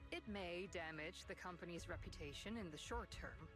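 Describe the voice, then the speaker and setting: A woman's voice: fairly low pitch, normal speed, over music, lightly acted. An actress playing a composed, authoritative female military colonel in a video game, formal and matter-of-fact.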